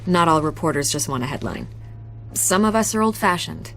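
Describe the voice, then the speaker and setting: An actress playing a confident, earnest newspaper reporter in a video game, measured and insistent. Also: female voice, sits low, animated, gravelly, a bit bright, exaggerated voice, low-quality recording. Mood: persuasive. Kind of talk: cinematic game dialogue acting. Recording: music behind the voice.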